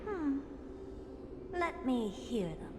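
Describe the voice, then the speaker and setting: Bossy eccentric genius lady scientist for a game, highish voice swinging all over, big echoey hall. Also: big pitch swings, drawn-out words, full character voice. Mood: puzzled. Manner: theatrical, imperious, muttering to herself. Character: haughty, eccentric genius woman scientist, impatient and obsessive. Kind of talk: video game voice acting. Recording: roomy echoing hall with noise.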